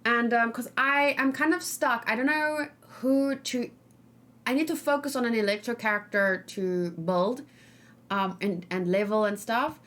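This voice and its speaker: High-pitched woman chatting casually on stream. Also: unhurried, a bit bright. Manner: informal, rambling, thinking aloud. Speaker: gaming streamer and host. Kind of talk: casual chatty let's play commentary.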